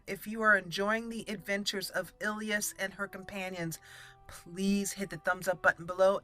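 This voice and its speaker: A woman hosting a roleplay playthrough, wrapping up the episode gently. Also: on the low side. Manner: soft, formal when in character, relaxed outro. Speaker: host. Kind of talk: roleplay gameplay commentary.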